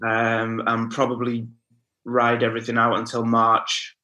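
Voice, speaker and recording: A man's voice: medium pitch, completely flat delivery, measured pace, pushed and bright. A man in his thirties with a Northern English accent, talking casually as a podcast guest and saying "mate" and "you know" often. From northern England. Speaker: guest, house music DJ and producer.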